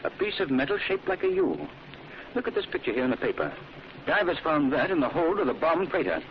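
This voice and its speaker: An actor playing a grave, thoughtful gentleman sleuth in an old radio drama, brooding and deliberate. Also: on the high side, huge pitch swings, vocal fry, heavily acted. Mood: pondering, intent. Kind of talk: 1940s radio acting. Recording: muffled old radio recording, faint noise.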